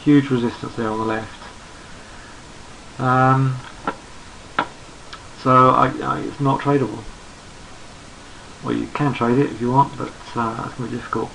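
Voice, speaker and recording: A man with a gravelly, croaky voice walks through trading charts. He is losing his voice and sounds hoarse. He speaks at a relaxed pace, thinking aloud and repeating himself as he goes. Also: mid-range voice, unhurried, pauses often, a little hiss or hum, poor audio. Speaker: trading analyst. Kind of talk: screen-recorded market chart commentary.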